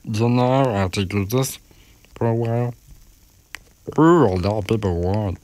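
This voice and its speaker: A man's voice: fairly low pitch, unhurried, darkish tone. A man giving rambling, casual commentary while playing a video game, mumbling along and breaking into sudden exclamations. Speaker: gaming channel host. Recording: heavy background noise.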